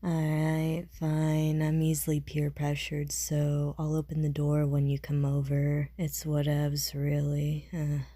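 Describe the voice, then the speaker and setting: Woman playing a low, deadpan slacker gamer girl. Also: takes it slowly, hardly any pauses, no room sound. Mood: reluctant, gloomy. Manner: deadpan, slangy, swearing, mumbled hesitations. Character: slacker gamer young woman, self-deprecating, socially awkward. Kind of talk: audio roleplay acting.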